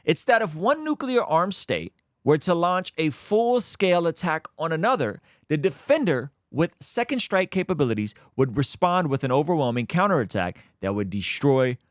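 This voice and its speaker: A man's voice: on the high side, sing-song, moderate pace, no echo, clean studio sound. A man from Azerbaijan hosting his own channel, giving earnest, emphatic commentary straight to his viewers. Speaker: YouTube host. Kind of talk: faith-based commentary on current events.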